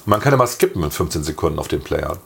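A hoarse man with a slight cough, co-hosting a podcast in casual, joking chat and laughing often. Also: extremely low pitch, very animated, fast, a little hiss or hum. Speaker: podcast co-host.

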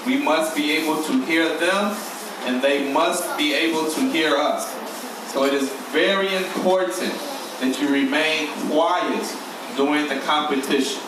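A man's voice: fairly high pitch, measured pace. A man as a contest official formally and emphatically announcing rules in a big room, recorded from far off. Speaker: spelling bee official. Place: large echoey room, mic far away. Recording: very echoey, distant, noisy hall recording.

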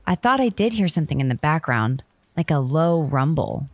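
A woman's voice: low voice, very animated, moderate pace, dry recording, exaggerated voice. A woman playing an earnest, take-charge director of operations, speaking urgently in a comedy audio drama. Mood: concerned.

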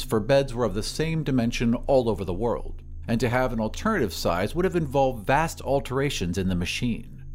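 A man narrates an audio story at a medium pitch, with faint music underneath. His reading is deliberate and expressive, phrased like dramatic literary narration.

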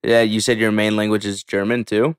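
A man's voice: lowish voice, little pitch movement, a little fast, smooth, dry recording, studio quality. A man hosting a podcast in casual, hyped, slangy talk with some swearing, a native English speaker. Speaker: podcast host.

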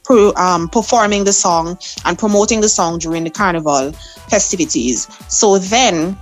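A woman's voice: fairly low pitch, normal speed, faint music behind. Vincentian lady, young, chatty storyteller who laughs a lot, soca singer being interviewed. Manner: lively storyteller, laughs often. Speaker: soca artist guest.

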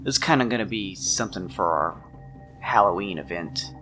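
A man's voice: deep voice, lively intonation, normal speed, pushed and bright, faint music behind, rough recording. A man playing a helpful, unassuming shop owner in a tabletop game, hesitant and accommodating as he talks. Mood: obliging.

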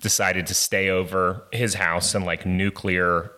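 A man guest on a podcast, telling a personal story in a halting, filler-heavy way. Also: low-pitched, steady tone, sharp tone. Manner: hesitant storytelling, many fillers and restarts. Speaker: guest, comedy writer. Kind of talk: casual interview storytelling.

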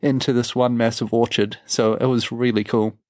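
A man co-hosting a travel podcast in a relaxed, rambling way, his voice croaky from a cold. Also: mid-range voice, normal speed, no room sound, studio quality. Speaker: podcast co-host. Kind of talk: casual travel chat.

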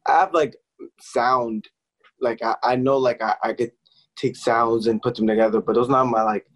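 Young man, teens, musician guest, casual, halting, filler-heavy speech, mid pitch. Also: fairly even intonation, low-quality recording. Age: young adult. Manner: halting, lots of "like" and restarts. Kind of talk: casual interview.

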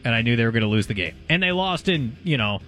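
A man's voice: mid-range voice, sing-song, quick pace, fairly bright tone, faint music behind. A man co-hosting a sports talk show, giving blunt opinions in casual conversation. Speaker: sports talk radio co-host.

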